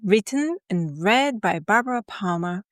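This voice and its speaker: A woman reading a scripted podcast introduction as announcer, crisp and even. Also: medium pitch, measured pace.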